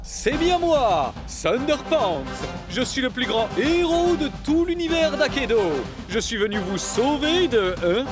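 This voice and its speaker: A man's voice: very high-pitched, big pitch swings, measured pace, few pauses, over music, full character voice. A man voicing a pompous, grandly heroic cartoon superhero who declaims his own glory. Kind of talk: cartoon dub acting.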